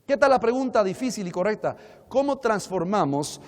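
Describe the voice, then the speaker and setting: A Colombian man preaches in a big reverberant hall, his voice pitched a little high. He speaks like a seminar teacher, talking directly to his listeners. He leans on rhetorical questions and slips into acted-out dialogue. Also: very animated, normal speed, darkish tone. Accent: Colombian Spanish. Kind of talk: sermon-like seminar teaching to an audience. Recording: echoey hall with long reverb.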